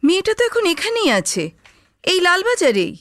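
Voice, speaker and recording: An actress playing a composed, inquisitive private detective in a Bengali audio story, with probing, polite questions. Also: female voice, very high-pitched, huge pitch swings, moderate pace, a bit bright, no echo, clean studio sound, exaggerated voice. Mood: curious, insistent.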